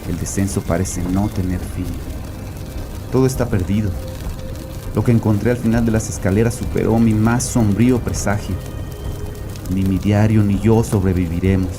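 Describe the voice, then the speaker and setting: An actor playing a tormented, guilt-ridden religious father, narrating a horror audio drama gravely and deliberately. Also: sits low, natural voice. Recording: voice over prominent music.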